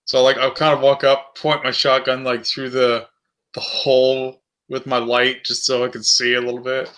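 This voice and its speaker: Bright-voiced man playing a tabletop game. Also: medium pitch, level tone, normal speed. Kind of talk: casual chat during a game.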